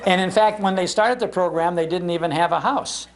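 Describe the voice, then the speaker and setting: Older American male speaker, voice a little high, relaying nostalgic anecdotes in a lively, chatty public-talk style. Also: a little fast, noisy background. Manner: animated, conversational, chuckles through anecdotes. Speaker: speaker on old-time radio history, broadcaster. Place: public library talk before an audience.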